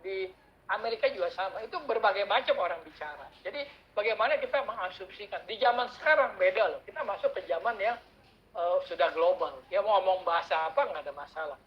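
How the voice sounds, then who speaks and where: A man giving a casual workshop talk as guest speaker, chatty and animated, telling anecdotes in a slangy way. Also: sits high, fast talker, highs cut off, a little hiss or hum. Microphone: online call mic.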